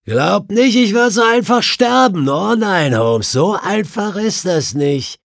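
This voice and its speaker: Audiobook villain, condemned murderer, high raised voice, sneering, vengeful, defiant. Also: male voice, sing-song, slow pace, creaky, no echo, clean studio sound, heavily acted. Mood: taunting defiance. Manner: shouting defiantly, taunting.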